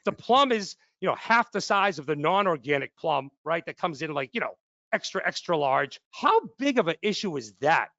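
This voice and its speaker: A man with a fairly high-pitched voice hosts a casual podcast chat. He tells personal stories in an animated way and turns them into questions. The recording is very dry and a bit dull. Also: normal speed, creaky, no echo. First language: English and Polish speaker. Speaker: podcast host, company CEO. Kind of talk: casual chat, anecdotal interviewing.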